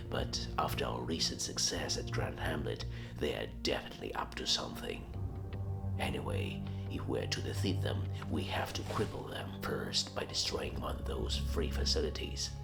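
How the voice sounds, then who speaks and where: A man voicing a sinister, calculating orc warlock in a gravelly whispery rasp, briefing slowly in a game cutscene. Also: extremely low pitch, exaggerated voice. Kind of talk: video game cutscene acting.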